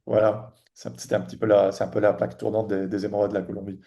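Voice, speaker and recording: A man in his forties from Tunisia, a guest on a podcast, talking casually in a halting way with many restarts and fillers. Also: fairly low pitch, level tone, gravelly, dark tone. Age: 40s. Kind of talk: casual interview chat.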